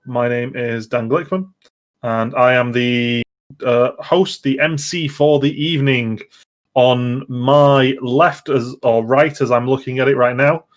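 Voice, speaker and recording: A man hosting a casual gaming podcast, chatty and digressive, often interrupting himself as he goes. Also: medium pitch. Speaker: podcast host.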